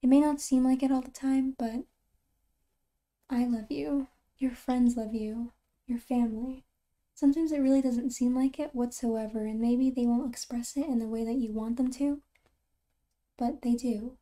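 Woman playing a very soft, mellow caring girlfriend. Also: high-pitched, fairly even intonation, close to an everyday voice. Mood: tender reassurance. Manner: soft-spoken, often breathy and near whisper, halting and tender. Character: caring, gentle, reassuring girlfriend. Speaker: ASMR roleplay performer. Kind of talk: ASMR girlfriend comfort roleplay.

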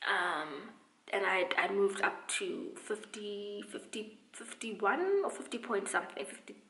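Young woman vlogging casually, bit of creak in her voice, roomy echo, playful and emphatic. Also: mid-range voice, measured pace, fairly bright tone, slight background noise. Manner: playful, chatty, emphatic with repeated words. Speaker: vlogger, dancer and dance instructor. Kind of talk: casual vlog chat to camera. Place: small room at home, indoors.

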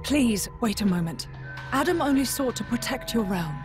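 A woman's voice: a little high, animated, moderate pace, music in the background, lightly acted. A woman playing an earnest, modest young mercenary in a fantasy game, polite and a little hesitant. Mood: urgent. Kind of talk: video game cutscene acting.

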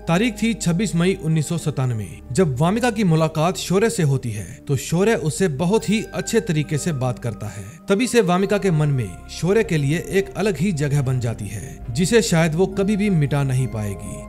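A man's voice: a little high, a little mellow. A man reading a scripted story recap as a narrator, with music playing behind him. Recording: music under the voice. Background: background music.

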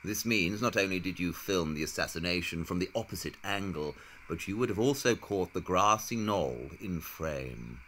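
Clever, intense detective for an audio play; theatrical, self-assured, very lively intonation, noisy background. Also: male voice, low voice, normal speed, hardly any pauses. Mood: probing. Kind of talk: audio drama acting.

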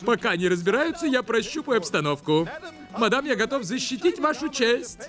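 An excitable bird showman from a dubbed cartoon. The pitch is extremely high for a male and leaps about constantly. He presents theatrically and wryly, with music playing behind him. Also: normal speed, full character voice. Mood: brisk, curious. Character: excitable, wisecracking bird presenter of an animal show. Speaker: host. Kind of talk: cartoon dubbing.

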